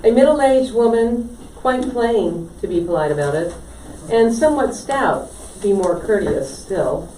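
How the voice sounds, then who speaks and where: Woman, poetry reader, mid pitch, mellow, roomy echo. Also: expressive, a little slow, few pauses. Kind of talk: reading a poem aloud to an audience. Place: indoor library poetry reading. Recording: echoey room, distant mic, faint noise.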